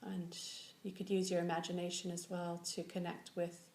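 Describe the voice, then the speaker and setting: A woman's voice: fairly low pitch, completely flat delivery. Woman guiding meditation in an echoey room. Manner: slow, gentle instruction with long pauses. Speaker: meditation teacher. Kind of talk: guided meditation. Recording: echoey room with faint background noise.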